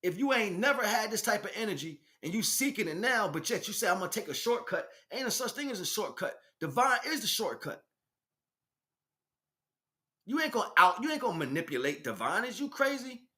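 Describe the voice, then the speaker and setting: A man's voice: high voice, sing-song, a little fast, sharp tone. An American man delivering an informal spiritual monologue as a teacher, speaking emphatically in streetwise slang and addressing listeners directly.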